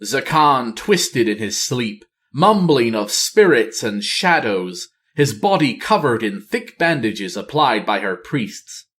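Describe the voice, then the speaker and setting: A man's voice: medium pitch, big pitch swings, slow pace, hardly any pauses, fairly bright tone. A man reading a fantasy novel aloud as storyteller, in dramatic audiobook-style narration.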